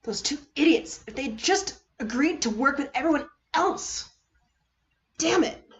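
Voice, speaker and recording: A woman's voice: sits high, lively intonation, unhurried, highs cut off. A woman voicing a hot-headed, anguished, foul-mouthed teenage boy in a dramatic story reading. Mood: frustrated.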